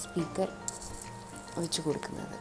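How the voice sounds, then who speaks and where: A woman with a Malayali accent gives a hands-on, step-by-step installation demonstration in a conversational way, mixing in English terms. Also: moderate pace. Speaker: presenter of a product installation tutorial. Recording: music playing behind the voice. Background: clearly audible background music.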